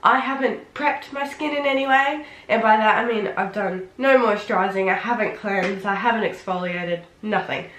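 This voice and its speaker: A woman with a head cold, sounding nasal and sniffly, chatting excitedly through a casual makeup tutorial. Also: mid-range voice, normal speed, few pauses, a little hiss or hum. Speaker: beauty vlogger. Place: home room. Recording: roomy, echoey room.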